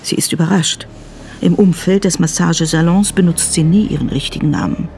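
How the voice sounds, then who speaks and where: Female narrator, matter-of-fact, low pitch, radio crime drama, noticeable ambient noise. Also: brisk, darkish tone. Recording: clear ambient background noise.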